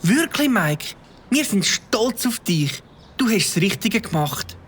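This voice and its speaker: A curious, supportive child in a children's radio play. The delivery is friendly and animated, with pitch that moves freely. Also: female voice, slow, slight background noise. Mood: proud. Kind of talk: children's audio drama acting.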